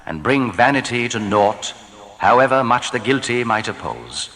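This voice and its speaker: Solemn, bright-voiced man reading in heavy reverb. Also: mid-range voice, expressive. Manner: formal, deliberate, declamatory. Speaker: narrator of scripture translation. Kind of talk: solemn scripted reading of archaic scripture translation. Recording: long reverb, hall-like.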